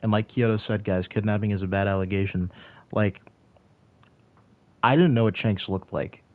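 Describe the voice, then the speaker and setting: A man's voice: on the low side, fairly even intonation, normal speed, dry recording. A man of about twenty chatting casually on a livestream and answering his chat, rambling with lots of "like" and restarts. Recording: tinny phone-like audio.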